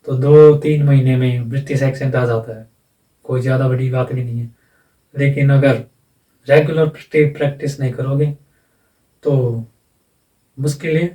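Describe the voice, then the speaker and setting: Mellow-voiced man teaching, mic a bit distant. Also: mid-range voice. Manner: plain, repetitive explaining with rhetorical questions. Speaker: English teacher. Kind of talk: casual explanatory lecture. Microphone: voice-over, face not shown.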